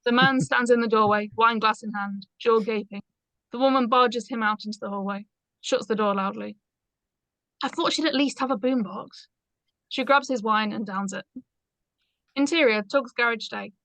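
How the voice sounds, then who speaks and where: A woman's voice: a little high, normal speed, a bit bright, dry recording, low-quality recording. A woman reading stage directions aloud as scripted narration in a screenplay read-through.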